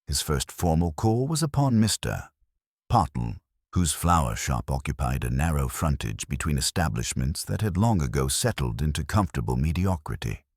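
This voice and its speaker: Very low-pitched man, lively intonation, measured wry mystery narration. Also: no room sound, clean studio sound. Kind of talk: scripted narration of a cosy British mystery story.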